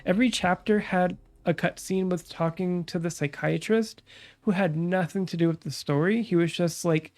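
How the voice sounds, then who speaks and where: A young woman VTuber chatting calmly about her stream plans, her nose stuffed up from a cold, with lots of fillers. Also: on the low side, normal speed. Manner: calm, relaxed, rambling with many fillers. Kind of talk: casual stream chat.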